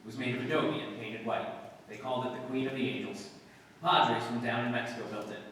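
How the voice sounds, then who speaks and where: Deadpan police detective played by a man, far-off echoey hall. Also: mid-range voice, quick pace, lightly acted. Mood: matter-of-fact narrating. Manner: deadpan, clipped, terse delivery. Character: male police detective sergeant, dry, laconic, matter-of-fact. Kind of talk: 1950s radio police drama acting, scripted narration. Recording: distant, echoey hall with long reverb, hiss.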